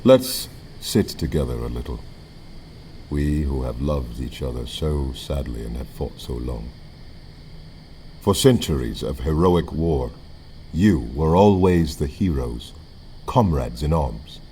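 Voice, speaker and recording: An actor playing a mysterious, godlike leader who speaks gravely and solemnly in a radio play. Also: male voice, extremely low pitch, animated, slow, dark tone, a little hiss or hum, full character voice. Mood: solemn tenderness.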